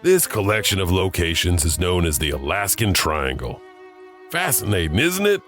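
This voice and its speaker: A man's voice: sits low, very animated, moderate pace, fairly bright tone, faint music behind. A man narrating and hosting an anthology of legends in a scripted, musing storytelling manner. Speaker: narrator and host.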